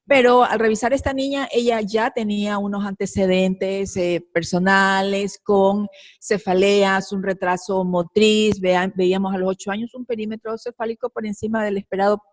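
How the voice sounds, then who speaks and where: Woman from ecuador presenting a surgery case, lots of uh and tag questions, middling pitch, dry sound. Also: little pitch movement, normal speed, dry recording. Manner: hesitant, many fillers and tag questions. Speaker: pediatric neurosurgeon presenting a case.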